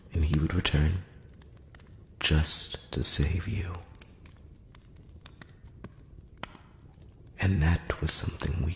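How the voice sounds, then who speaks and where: A man playing a courteous, cautious elf emissary in a close-up ASMR roleplay, speaking in a hushed, breathy, halting whisper and murmur. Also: very deep voice, exaggerated voice. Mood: grave, confiding. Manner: hushed, halting, measured, earnest. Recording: roomy long reverb, faint noise.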